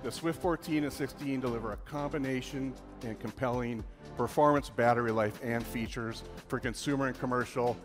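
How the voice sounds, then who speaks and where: A man's voice: medium pitch, fairly even intonation, a little fast, over music. A man appearing as a partner guest on a keynote stage, speaking in a hearty, effusive way. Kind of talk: live stage remarks.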